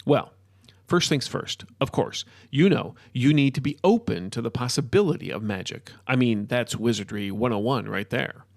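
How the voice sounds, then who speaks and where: A man playing an excitable modern-day wizard in a storybook, ranting and lecturing in an emphatic, informal way. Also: mid-range voice, normal speed, vocal fry, no room sound, clean studio sound. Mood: enthusiastic lecturing. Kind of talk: storybook character dialogue.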